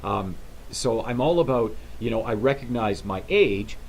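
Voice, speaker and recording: Male host, 50s, chatty and halting with restarts, faint hiss under the voice. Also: on the low side, expressive, gravelly, rough recording. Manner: rambling, halting with many restarts. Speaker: talk show host. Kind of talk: casual interview chat.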